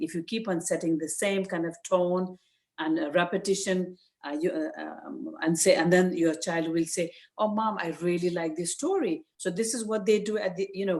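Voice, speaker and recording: An older woman from Nepal with a Nepali accent, giving informal, earnest advice as a guest speaker, with frequent hesitant pauses. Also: on the low side, darkish tone. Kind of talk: informal advice talk to parents. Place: virtual session over video call.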